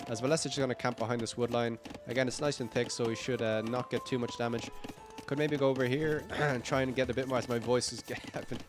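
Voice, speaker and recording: A male esports caster whose voice has gone croaky from hours of streaming. He talks through the game in an upbeat, rambling play-by-play, correcting himself as he goes, with game music playing behind him. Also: mid-range voice, fairly even intonation, fast, few pauses. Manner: rambling and upbeat, frequent "uh" fillers, self-corrections. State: croaky, tired voice late in a long stream. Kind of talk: live play-by-play game commentary.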